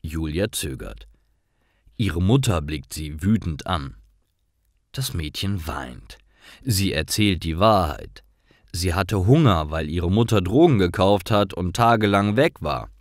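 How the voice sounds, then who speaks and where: A man reading simple scripted stories aloud in a clear, deliberate manner, as a storyteller for language learners. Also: low-pitched, lively intonation, no room sound, clean studio sound.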